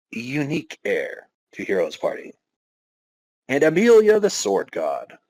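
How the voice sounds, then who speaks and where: Low-pitched man reading dramatically, close and dry. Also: no room sound, poor audio. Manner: wry, self-interrupting commentary. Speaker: podcast host. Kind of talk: dramatic reading with casual asides.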